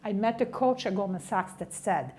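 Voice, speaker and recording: A woman's voice: medium pitch, expressive, moderate pace, a little mellow. A middle-aged woman from Italy with an Italian accent, giving a direct, punchy motivational career talk. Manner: direct, punchy, stressing key words.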